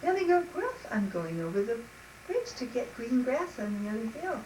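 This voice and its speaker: Woman as a high, sing-song little goat. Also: sing-song, normal speed, a little mellow. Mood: timid. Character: little and middle-sized pleading billy goats. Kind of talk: storybook storytelling for young children. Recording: roomy, distant mic, noisy.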